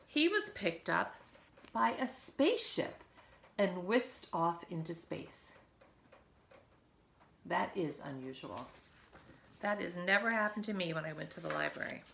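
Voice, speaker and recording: A woman's voice: lowish voice, very animated, unhurried, creaky, long pauses, fairly bright tone, a little hiss or hum. A woman in her fifties reads a picture book aloud to young children, expressively, with chatty asides, recorded at home. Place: living room at home, by a window.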